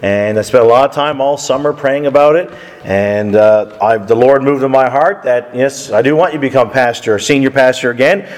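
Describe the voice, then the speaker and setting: Hoarse male preacher, chatty, fillers, long church reverb. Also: medium pitch, moderate pace. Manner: friendly, chatty, with frequent fillers. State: hoarse, deeper than usual. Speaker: guest preacher. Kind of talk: sermon opening. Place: church sanctuary.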